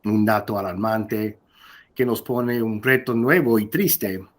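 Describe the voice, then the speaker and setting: Soft-spoken man lecturing over video. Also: lowish voice. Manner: halting and earnest, frequent restarts. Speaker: guest lecturer. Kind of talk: formal conference lecture.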